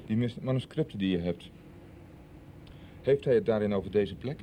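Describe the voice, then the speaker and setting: A man's voice: lowish voice, moderate pace, mellow, slight background noise, close to an everyday voice. An actor in a radio soap playing a grave, secretive man who presses and pleads with his brother. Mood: grave, insistent. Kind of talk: 1990s Dutch radio soap acting.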